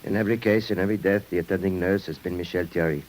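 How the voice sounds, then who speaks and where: An actor playing an earnest, doubting French police detective with a gravelly, husky voice in an old radio drama. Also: male voice, low-pitched, fairly even intonation, rapid-fire, a little mellow, slight background noise, natural voice, rough recording. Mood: reporting. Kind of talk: 1950s radio drama acting.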